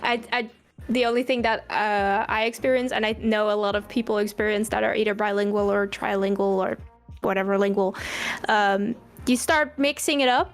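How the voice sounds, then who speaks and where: A woman's voice: medium pitch, fairly even intonation, normal speed, soft background music. A young Dutch woman with a light Dutch accent, chatting casually as a guest, often laughing and restarting her sentences. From Netherlands. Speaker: guest streamer. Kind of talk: casual chat.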